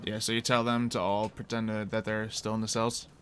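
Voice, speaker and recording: Bright-voiced man running a tabletop game. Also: lowish voice, level tone, a little fast, a little hiss or hum. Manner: casual, conversational improvised narration. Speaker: game master. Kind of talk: narrating a tabletop roleplay game.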